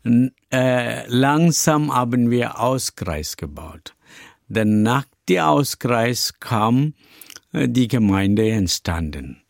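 An older man from India with an Indian accent, Tamil as his first language, answering interview questions as a pastor in halting, broken German full of fillers. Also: mid-range voice, animated, gravelly, no echo, clean studio sound. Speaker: pastor and church founder.

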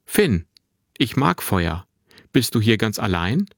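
A man voicing a confident, carefree boy of about twelve in a narrated story, with a clear, bright tone and a forthright manner. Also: on the low side, very animated, slow pace, dry recording, full character voice. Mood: frank, curious. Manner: forthright, unembarrassed, boyishly matter-of-fact. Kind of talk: acted dialogue in a narrated story.

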